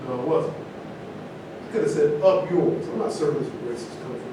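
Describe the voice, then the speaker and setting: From far off in a very echoey room, a man plays a principled male Navy veteran in a stage reading. His voice is fairly high, earnest and firm. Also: normal speed, lightly acted. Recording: echoey room, distant mic, noisy rumble.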